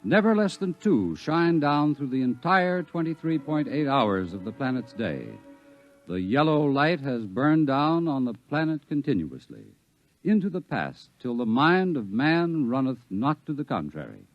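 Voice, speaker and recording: Male radio-drama narrator, dramatic, measured, lively pitch, faint music underneath. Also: dull audio.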